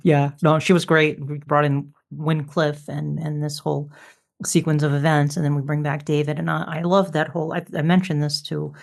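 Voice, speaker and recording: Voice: medium pitch, level tone, moderate pace, gravelly. A podcast host chatting casually and effusively with guests, with the keenness of a fan. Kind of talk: casual interview chat.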